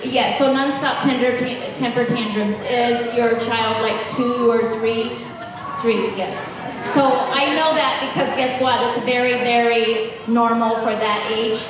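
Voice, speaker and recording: A woman's voice: fairly high pitch, steady tone, measured pace, noisy background. A woman whose first language is Pennsylvania Dutch, giving practical parenting advice at a live festival talk and taking audience questions in a chatty, reassuring way. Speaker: festival speaker. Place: large festival hall with audience. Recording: echoey hall, distant mic, music behind.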